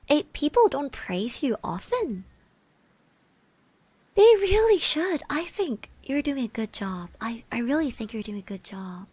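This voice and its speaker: A woman plays a kind, bashful girl of eighteen in an ASMR classmate roleplay. Her voice is soft and fairly high, and she speaks very slowly with hesitant, reassuring stammers. The sound is close and dry. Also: very animated, slightly rough, no room sound, exaggerated voice. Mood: gently encouraging. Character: shy, kind eighteen-year-old girl classmate giving encouragement. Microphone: close microphone.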